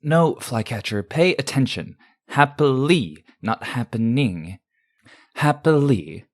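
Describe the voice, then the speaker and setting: A man playing a patient but weary clerk in a comic dub, speaking in a deliberate, didactic way. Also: medium pitch, animated. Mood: exasperated, instructing.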